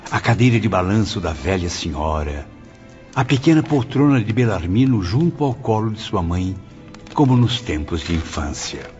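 An actor playing a solemn male spirit who narrates his memoirs in a radio drama, with expressive delivery. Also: low voice. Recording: dull radio drama recording, faint music.

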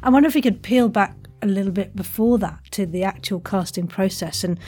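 A woman with a husky, medium-pitched voice hosts a casual interview. She is chatty and effusive with her guest. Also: fairly quick, mellow. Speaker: podcast host, interviewer.

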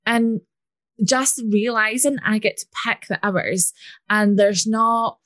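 A woman with a Scottish accent talks in a chatty, flowing way, full of casual asides. Her voice sits at an ordinary middle pitch. The recording is close and dry, with no room sound. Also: slow, no echo. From Scotland. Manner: chatty, fluent, lively storytelling with fillers like "like". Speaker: guest business and money coach. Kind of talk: conversational podcast interview.

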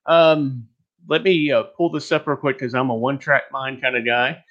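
A man's voice: mid-range voice, steady tone, normal speed, a bit bright. Texas guy teaching over a webinar, jokes at himself, rambles a lot, kinda muffled lo-fi sound. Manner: rambling, joking, self-deprecating. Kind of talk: online teaching presentation.

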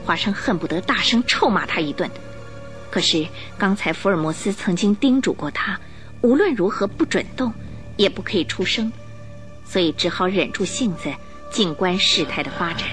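A woman giving dramatic scripted narration for a radio drama, telling the story in a suspenseful, expressive way. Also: mid-range voice, moderate pace, faint music behind.

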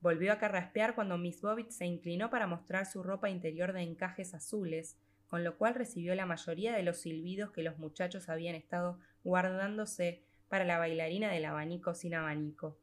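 Woman narrating a story, lowish voice. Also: fairly even intonation, a little fast, hardly any pauses. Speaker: audiobook narrator. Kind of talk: scripted literary narration, reading a short story aloud.

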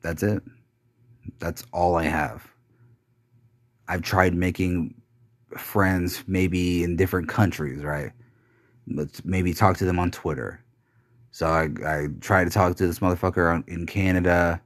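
A young man speaks in a very low, heavy voice, dragging his words out slowly with long pauses. He sounds bitter and blunt and swears freely. The recording is close and dry, with no room sound. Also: fairly even intonation, no room sound. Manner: slow, heavy delivery with trailing pauses. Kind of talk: personal confessional monologue.

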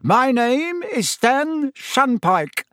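A man's voice: high-pitched, big pitch swings, a little slow, no room sound, voice pushed up, heavily acted. A man voicing a brash, nosy teenage bus conductor with cheeky, slangy speech in a narrated storybook. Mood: loud, officious. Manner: cheeky, slangy, dropped sounds and double negatives.

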